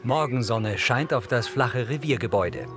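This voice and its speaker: A man's voice: medium pitch, expressive, moderate pace, music in the background. A man reading scripted audio description narration in a neutral, precise manner.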